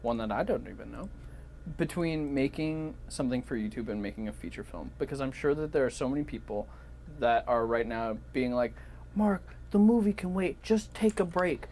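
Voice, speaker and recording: A woman's voice: extremely low pitch, expressive, moderate pace, warm tone. A woman chatting casually on a podcast in a blunt way, repeating words for emphasis. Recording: noticeable background noise.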